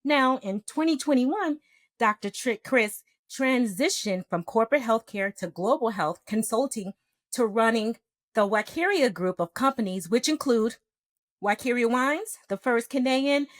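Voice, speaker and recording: A woman's voice: a little high, animated, measured pace, no echo. A middle-aged woman, a nurse practitioner, hosting a podcast with a formal, enthusiastic scripted intro and then interviewing a guest. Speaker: podcast host.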